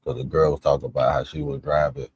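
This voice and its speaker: Super deep husky dude streaming, chill and cussing, just thinking out loud. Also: male voice, little pitch movement, fast, no room sound, lo-fi sound. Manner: laid-back, profane, musing aloud. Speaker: streamer reacting to clips. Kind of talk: casual reaction stream commentary.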